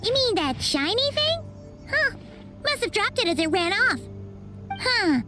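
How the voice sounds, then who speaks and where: A woman voicing a small, excitable, childlike fairy companion in a video game, squeaky and full of exclamations. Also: a little slow, loud music behind the voice, full character voice. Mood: curious. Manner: talks of self in third person, exclaims. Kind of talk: animated game voice acting.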